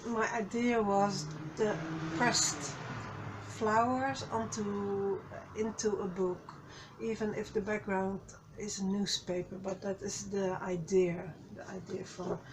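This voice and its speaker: A woman with a slightly hoarse voice, hosting a casual craft tutorial over her desk, slow and halting, with many fillers and restarts. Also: mid-range voice, fairly even intonation, noisy background. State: a little hoarse. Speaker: craft channel host. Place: craft desk, overhead camera.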